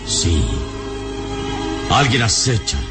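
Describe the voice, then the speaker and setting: Very deep, commanding adventurer hero. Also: male voice, sing-song, over loud music, exaggerated voice. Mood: alert, watchful. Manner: solemn, measured, authoritative. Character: commanding, vigilant adventurer hero, calm under danger. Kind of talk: old radio serial acting. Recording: noisy old radio serial recording.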